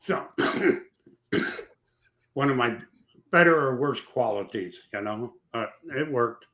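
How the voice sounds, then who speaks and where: Raspy-voiced man chatting folksy and bright. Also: medium pitch, lively intonation, slow pace. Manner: rambling, folksy, with many fillers. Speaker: podcast guest, former track owner. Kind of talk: casual chat.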